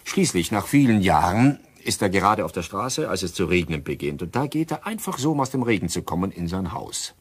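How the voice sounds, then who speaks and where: Low, husky, musing doctor. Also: male voice, sing-song, fairly quick, hardly any pauses, heavily acted. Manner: hesitant, musing, storytelling. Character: musing bookish doctor, amateur sleuth among friends. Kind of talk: German crime radio drama dialogue.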